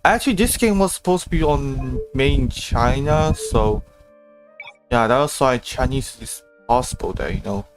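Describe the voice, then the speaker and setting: A man's voice: mid-range voice, expressive. Hesitant man streaming over game music. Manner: hesitant, halting. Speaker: game livestreamer. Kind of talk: casual livestream commentary, reading along with dialogue.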